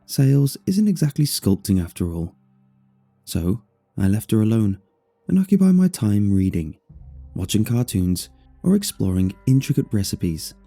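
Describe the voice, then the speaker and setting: A man narrating a scripted first-person horror story in a measured, expressive way over music. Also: on the low side, creaky. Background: faint music.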